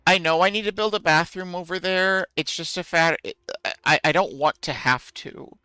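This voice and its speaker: A man's voice: sits high, moderate pace, sharp tone, clean tone, no room sound. A man streaming a game, chatting casually with viewers in a musing, self-deprecating way.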